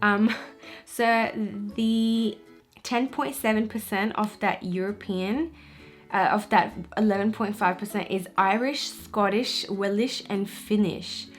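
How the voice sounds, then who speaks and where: A woman's voice: mid-range voice, slow. A young woman from Pakistan vlogging casually to camera, chatty and full of fillers like "um" and "you know". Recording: echoey room with faint music.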